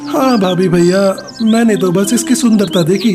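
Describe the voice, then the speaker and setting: A woman's voice: mid-range voice, normal speed, natural voice. A woman voicing a worried, earnest young male pigeon husband in a cartoon. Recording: loud music behind voice.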